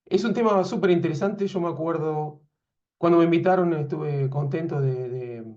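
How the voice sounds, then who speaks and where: A man's voice: fairly high pitch, mellow. Argentine guy in his 40s giving a vet imaging webinar, lots of ums and restarts, muffled lo-fi call audio. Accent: Argentine Spanish. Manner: hesitant, many fillers and restarts. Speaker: veterinary radiologist lecturer. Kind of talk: webinar lecture. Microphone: video call.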